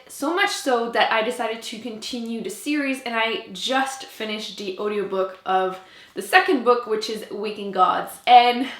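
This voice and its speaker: A woman's voice: fairly high pitch, a bit bright, reverberant. A French Canadian woman, a native French speaker, chatting casually and emphatically to camera as a book reviewer.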